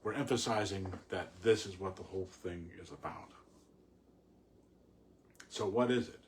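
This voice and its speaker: A man reading and discussing poetry informally, in a reflective, halting, explanatory way. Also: sits low. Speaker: poet reading and commenting on a poem. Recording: clearly audible background noise.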